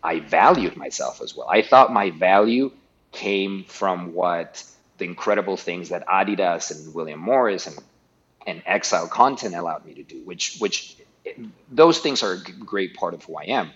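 A man's voice: sits low, expressive, moderate pace, a bit bright, muffled recording. A middle-aged man from Guatemala, a guest talking casually in an interview, often restarting and repeating words.

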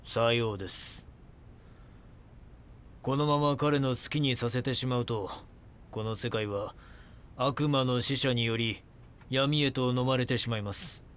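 A man playing a solemn cult founder in an audio drama, speaking in formal, measured, preaching pronouncements. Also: mid-range voice, fairly bright tone, smooth, natural voice. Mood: solemn, condemning. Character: solemn male cult founder claiming divine revelations.

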